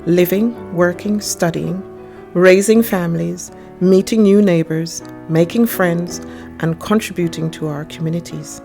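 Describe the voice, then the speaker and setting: Mellow low-voiced woman narrator over music. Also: a little slow, creaky. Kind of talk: scripted narration.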